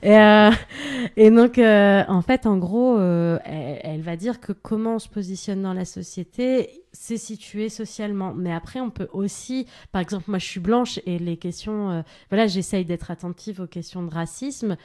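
A woman's voice: mid-range voice, normal speed, a little mellow. A woman speaking on a radio panel, explaining her points earnestly and hesitating often with filler sounds. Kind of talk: radio discussion.

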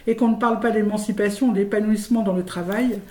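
A woman's voice: medium pitch, steady tone, quick pace, warm tone. A woman presenter reading scripted satirical commentary in a formal, declamatory, rhetorical manner. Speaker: radio show presenter. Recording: roomy, faint background noise.

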